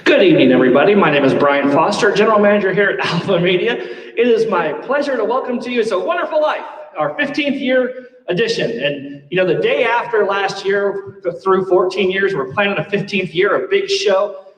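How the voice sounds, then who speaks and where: A man's voice: sits high, lively intonation, a little fast. Echoey, distant man giving a stage welcome. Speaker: general manager giving a welcome speech. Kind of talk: informal stage welcome and fundraising appeal. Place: theatre stage without audience. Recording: echoey empty hall, distant mic.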